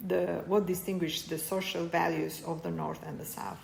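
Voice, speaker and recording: Halting woman interviewer, gravelly voice. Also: lowish voice, little pitch movement, echoey room, slight background noise. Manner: halting questions, frequent backchannel. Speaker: student interviewer. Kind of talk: interview questions.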